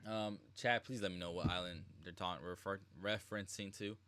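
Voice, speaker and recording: A male streamer with a low, bright, forceful voice. He comments casually and informally, talking straight to his chat as he reacts. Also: steady tone, fairly quick, clear, resonant tone. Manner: informal, addresses chat, mimics lines. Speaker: streamer, host.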